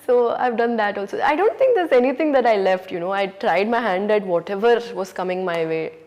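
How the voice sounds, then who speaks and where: Indian English actress, mid-range voice, thoughtful candid answers, echoey hall recording. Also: female voice, medium pitch, lively intonation, brisk, a little mellow, dull audio. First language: Urdu. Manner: reflective, frequent "you know" and "um". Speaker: actress, interview guest. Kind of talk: candid conversational interview answers. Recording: hall reverb.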